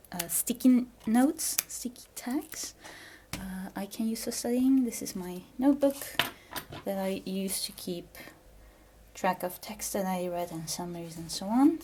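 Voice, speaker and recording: A woman's voice: mid-range voice, darkish tone, a little hiss or hum. A young woman whose first language is Dutch, chatting casually as she shows her apartment room by room on a handheld camera, giggling and dropping to whispers now and then. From Belgium. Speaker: vlogger giving a home tour. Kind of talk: casual house tour narration. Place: small apartment room indoors. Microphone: handheld camera held while walking.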